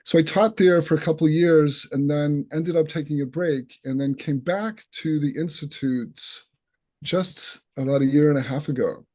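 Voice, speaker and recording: Man, Boston accent, mellow tone, slightly high voice, earnest storytelling, dry close sound. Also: fairly even intonation, normal speed. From northeastern United States. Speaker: instructor. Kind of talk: online open house self-introduction.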